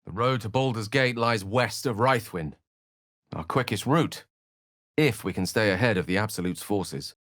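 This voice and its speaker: A male actor plays a learned, self-possessed wizard from a fantasy video game. His voice sits a little low in a dry, studio-clean space. He shapes ornate phrases with care, theatrical flair and dry wit. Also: normal speed, fairly bright tone, no room sound, close to an everyday voice. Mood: dry, composed. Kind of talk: theatrical game dialogue in short separate lines.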